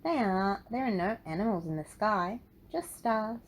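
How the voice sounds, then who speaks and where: A woman's voice: mid-range voice, animated, measured pace, a little hiss or hum, natural voice. A woman as a friendly storybook mouse. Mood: gentle. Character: friendly, gentle mouse, welcoming neighbour.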